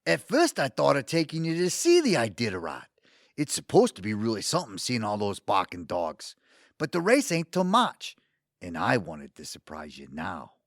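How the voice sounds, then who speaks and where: A man acts out an older New suitor in a radio-play comedy. His pitch leaps all over the place as he talks in a folksy, enthusiastic way. The sound is close and dry. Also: wildly swooping pitch, normal speed, dry recording. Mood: cheerful, reassuring. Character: eager, sweet, older Brooklyn man wooing his date. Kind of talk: audio theatre comedy acting.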